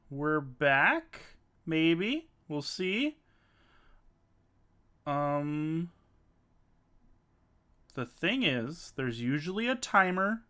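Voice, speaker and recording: A man hosting a casual live stream with friends, animated and quick to laugh, sometimes reading haltingly. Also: fairly high pitch. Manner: animated, laughs often, reads aloud haltingly. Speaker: streamer and host.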